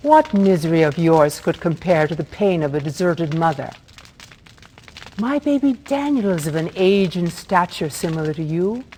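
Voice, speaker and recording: A woman's voice: on the low side, warm tone. A woman playing a proud, sorrowful middle-aged mother who trades weapons in a fantasy video game, speaking in a formal, theatrical way. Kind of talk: dramatic video game voice acting. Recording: faint background noise, clicks.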